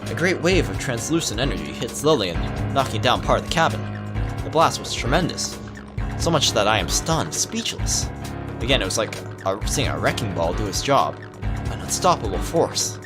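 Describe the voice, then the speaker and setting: Let's player, lively intonation, dramatic story reading, casual commentary, self-correcting, background music. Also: mid-range voice, moderate pace. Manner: stumbles over words and self-corrects. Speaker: let's player reading a visual novel aloud.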